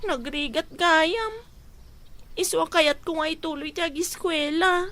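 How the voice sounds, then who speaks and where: A woman's voice: very high voice, steady tone, a little slow, pushed and bright, full character voice. An actress playing a remorseful young mother in a radio drama, pleading emotionally with a Filipino Ilocano accent. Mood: desperate pleading.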